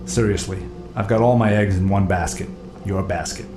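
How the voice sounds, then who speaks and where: A blunt, sardonic submarine pilot played by a male actor, matter-of-fact and wry. His slightly deep voice echoes strongly in the space, with music playing clearly behind it. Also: steady tone, normal speed, close to an everyday voice. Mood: sardonic, pressing. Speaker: actor in audio drama. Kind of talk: naturalistic full-cast audio drama dialogue. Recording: echoey cabin sound, music underneath.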